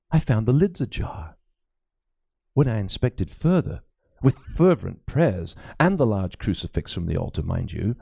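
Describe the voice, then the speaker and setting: A man's voice: lowish voice, creaky, warm tone, husky, dry recording, clean studio sound, pitched below the performer's own voice, exaggerated voice. A man voicing a frightened, fervent medieval priest in an audiobook, pleading and stammering with dramatic urgency. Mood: horrified. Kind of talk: dramatic audiobook character acting.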